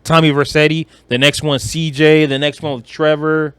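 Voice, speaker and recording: A man's voice: a little high, little pitch movement, moderate pace, clear, resonant tone, dry recording. A man co-hosting a podcast, chatting casually in a slangy, conversational way. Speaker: podcast co-host. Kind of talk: casual chat about video games and film.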